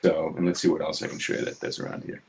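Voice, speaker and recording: A man in his seventies from New York City with a New York accent, talking casually about his craft in his home studio, quick and succinct, hitting consonants hard. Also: rumbling low, little pitch movement, vocal fry, a crowd in the background. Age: 70s. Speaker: guest artist. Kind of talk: casual studio chat while drawing.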